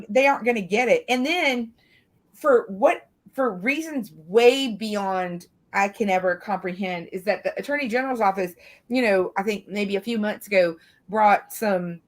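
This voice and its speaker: A woman's voice: mid-range voice, moderate pace. A woman from the American South speaking casually and at length as a panel commentator, circling through her points with lots of filler phrases. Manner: rambling, frequent "you know". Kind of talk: casual true-crime discussion.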